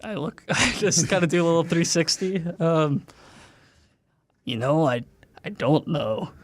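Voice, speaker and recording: A man's voice: on the high side, measured pace, slight background noise. A man playing a tabletop role-playing game, talking in a casual, conversational style.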